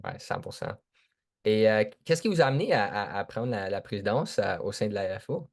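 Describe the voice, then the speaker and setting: A man's voice: on the low side, wildly swooping pitch, brisk, vocal fry. A young man with a Franco-Ontarian accent hosting a podcast interview. He keeps things casual with short affirmations and drifts between formal and informal address. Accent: Franco-Ontarian French. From Sturgeon Falls, Northern Ontario. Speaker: podcast host.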